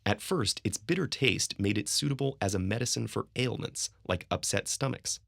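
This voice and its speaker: A man narrates an educational video from a script in a low, gravelly voice. His delivery is measured and steady, like a storyteller. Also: no echo, studio quality. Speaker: documentary narrator.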